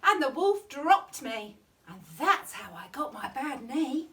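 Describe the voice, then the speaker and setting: A woman with a very high, swooping voice reads a children's story at an unhurried pace. She leans theatrically on key words and draws them out for emphasis. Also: sing-song, sharp tone, far from the mic, a little hiss or hum. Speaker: storytime reader at a primary school. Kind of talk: animated children's bedtime story reading.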